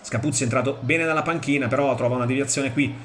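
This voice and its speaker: A man commentating football highlights, describing each play analytically in short clipped phrases. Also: steady tone, fast, fairly bright tone, faint music behind.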